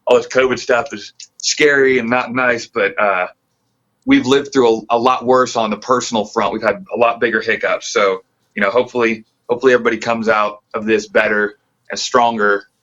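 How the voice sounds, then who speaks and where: A man's voice: mid-range voice, fairly even intonation, a little fast, fairly bright tone, dull audio. A man from the Pacific Northwest, a band member, chatting as a guest in a loose, rambling, earnest way. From Pacific Northwest, near Tacoma. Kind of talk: casual interview chat.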